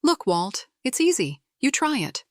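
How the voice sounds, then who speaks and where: A woman's voice: sits high, sing-song, unhurried, background noise, voice pushed up. A woman voicing a bossy but caring young girl for a storybook, exclaiming and grumbling as an exasperated big sister.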